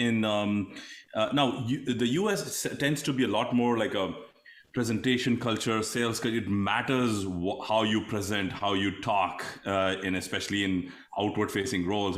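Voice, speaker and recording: Man, low voice, interview host, hesitant, many fillers. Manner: hesitant, many ums and uhs. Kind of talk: casual interview chat.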